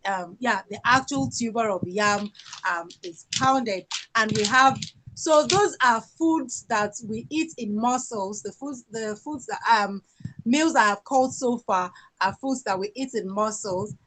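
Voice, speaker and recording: A Nigerian woman with a Yoruba accent, presenting her country's culture and songs in an unhurried talk full of fillers. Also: on the high side, slight background noise, low-quality recording. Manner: sings folk songs, speaks slowly and warmly with fillers.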